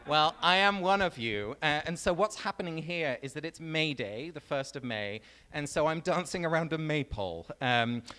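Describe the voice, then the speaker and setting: A man with an English accent giving a personal talk on stage, relaxed and storytelling, with frequent ums. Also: a little high, normal speed, few pauses, clean tone. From England. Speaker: guest speaker. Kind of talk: public talk. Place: large venue on stage. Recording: reverberant hall.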